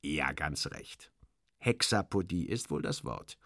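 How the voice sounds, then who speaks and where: A man's voice: a bass voice, animated, slow pace, pushed and bright, no room sound, studio quality, voice pushed down, full character voice. A man playing a fussy, obsequious station director, an officious bureaucrat who hesitates and corrects himself, in a satirical audio reading. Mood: pedantic explaining.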